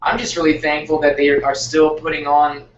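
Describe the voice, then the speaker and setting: Roomy, distant young man chatting haltingly. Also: fairly high pitch, little pitch movement. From Texas, United States. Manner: hesitant, filler-heavy, searching phrasing. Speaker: band member being interviewed. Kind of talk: casual chat. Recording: roomy, distant, dull, noisy group recording.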